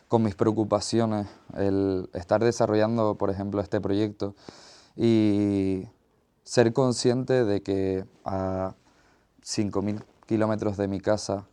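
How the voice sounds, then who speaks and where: A man with a Canarian Spanish accent giving a reflective solo podcast monologue in a measured, thoughtful way, pausing mid-phrase. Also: on the low side, dark tone, clean tone. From Tenerife, Canary Islands, Spain. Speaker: podcast host.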